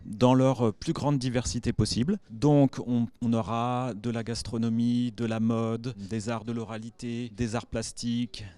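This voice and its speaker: A man explaining a cultural event he organized, in a measured interview. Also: mid-range voice, little pitch movement, warm tone, clear, resonant tone, no room sound.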